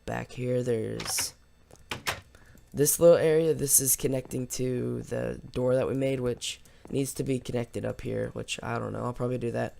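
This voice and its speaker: A man with a slightly hoarse voice giving casual, rambling, unscripted let's play commentary as host of a gaming video. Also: medium pitch, fairly even intonation, normal speed, warm tone. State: slightly hoarse after losing voice from yelling.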